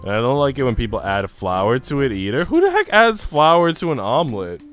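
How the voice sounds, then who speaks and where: A young man talks in a medium-pitched voice over soft music. He is livestreaming a game, moving loosely between reading story text and informal, rambling chat full of slang. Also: sing-song, fairly quick. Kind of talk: casual livestream chat while reading a visual novel aloud.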